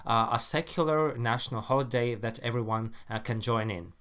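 Guy speaking english on a podcast, halting, uh uh every few words. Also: medium pitch, a bit bright. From Latvia. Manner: halting, with constant "uh" pauses. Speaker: podcast co-host. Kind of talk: casual podcast chat.

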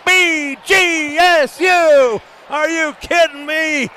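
Over faint stadium noise, a man calls live football play-by-play for radio. His voice is high and bright, with an excitable delivery built for big plays. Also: drawn-out words. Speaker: play-by-play announcer. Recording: noisy live stadium broadcast ambience.

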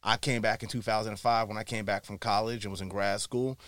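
A man, telling his story as a podcast guest. He talks very fast, animated, correcting himself mid-sentence. The sound is close and dry. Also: on the low side, dry recording. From Harlem, New York. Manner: rapid, animated, self-correcting. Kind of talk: casual storytelling chat.

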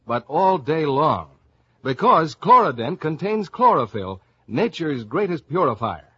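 Animated, husky male radio announcer. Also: on the high side. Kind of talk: scripted 1950s radio advertising announcing. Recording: dull vintage radio broadcast recording.

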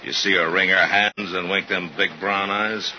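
A male actor plays a beefy, goading cop with a lowish voice, folksy and pushy, tacking needling questions onto his talk. It is 1950s radio drama, muffled and somewhat noisy, like an old broadcast. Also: little pitch movement, normal speed, natural voice. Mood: mocking.

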